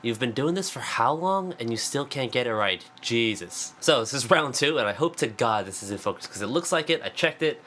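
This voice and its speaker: A man's voice: mid-range voice, expressive, fairly quick, hardly any pauses, a bit bright, slight background noise. A congested man with a cold, chatting casually and self-deprecatingly about songwriting with lots of fillers. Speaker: singer-songwriter. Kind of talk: casual vlog talk. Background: acoustic guitar.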